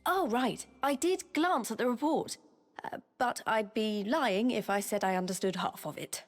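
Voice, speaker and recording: A woman's voice: on the high side, normal speed, lightly acted. An actress playing a friendly, informal woman soldier in a video game cutscene, talking in a frank, wry and casual manner. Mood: sheepish, frank. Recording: music behind the voice. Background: game music.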